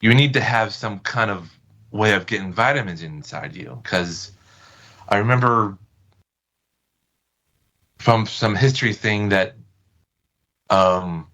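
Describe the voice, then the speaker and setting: A man's voice: on the low side, takes it slowly, pauses often, bright, forceful tone, poor audio. An American man chatting casually as a podcast guest, wording his points loosely and tentatively. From United States. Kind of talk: casual interview chat.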